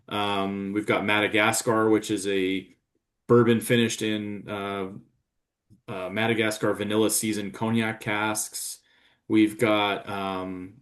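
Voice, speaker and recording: A man from New York, a brand representative chatting casually and rambling with lots of fillers. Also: lowish voice. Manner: easygoing, rambling with many fillers. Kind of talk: casual chat.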